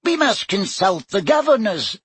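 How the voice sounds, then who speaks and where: A man voicing a tiny old male professor with a squeaky, high voice. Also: very animated, unhurried, fairly bright tone, slightly rough, dry recording, exaggerated voice. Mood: grave.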